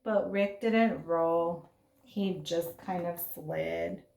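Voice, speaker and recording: A woman reading a children's story aloud in an expressive, dramatic way, with asides and questions to young listeners. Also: fairly low pitch, drawn-out words. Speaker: storybook reader. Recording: roomy, echoing sound.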